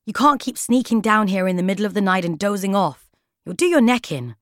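A woman's voice: medium pitch, animated, a little fast, a bit bright, dry recording, clean studio sound, natural voice. Woman voicing a wry, affectionate storybook dad. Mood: wry scolding. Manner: wry, mild. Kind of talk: children's audiobook character voice.

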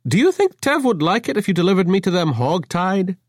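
A man's voice: big pitch swings, fairly quick, no echo, studio quality. A man putting on a giddy, manic young man. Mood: manic cheer. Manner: gushing, high and theatrical.

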